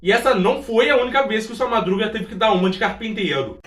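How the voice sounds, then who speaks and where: High-pitched Brazilian man in a roomy space. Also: animated, quick pace, very noisy. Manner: informal, exclamatory, talks directly to viewers. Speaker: YouTube presenter of a video essay on a TV sitcom. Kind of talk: casual scripted narration with chatty asides. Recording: roomy echo, distant from the mic.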